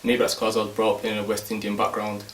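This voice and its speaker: A man from Manchester, a musician, speaking calmly and earnestly in an interview. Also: lowish voice, fast talker, slight background noise. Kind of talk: interview talk. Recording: echoey room, distant mic.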